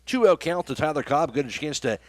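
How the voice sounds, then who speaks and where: A man's voice: on the high side, expressive, a little fast, no room sound, clean studio sound. A man working as a radio play-by-play announcer, calling baseball and reading sponsor spots. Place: live radio broadcast from a ballgame.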